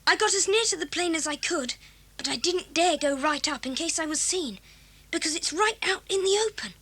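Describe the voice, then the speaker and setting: A woman's voice: very high voice, fairly quick, fairly bright tone. A woman playing a plucky, practical schoolboy with an eager, earnest way of talking, in a 1980s children's audio drama. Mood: breathless relief.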